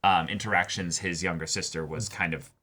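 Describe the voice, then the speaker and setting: A man's voice: low-pitched, steady tone, fairly quick, sharp tone, breathy edge. A man co-hosting a reaction show, talking casually and emphatically, working through his points with digressions. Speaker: co-host of an anime reaction show. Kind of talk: casual discussion.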